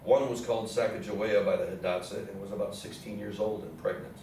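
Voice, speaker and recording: A man's voice: fairly low pitch, steady tone, fairly quick, a little mellow. A man reading scripted historical narration as the narrator of a stage reading. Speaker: narrator in a readers theatre play. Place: indoor theatre room with audience program. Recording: echoey hall, distant mic, faint noise.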